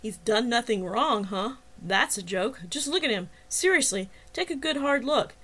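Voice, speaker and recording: A woman's voice: medium pitch, animated, unhurried. A woman voicing a scornful, belligerent male patrol officer in an audiobook, sneering, sarcastic and accusing. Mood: mocking, accusing. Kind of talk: dramatized audiobook dialogue.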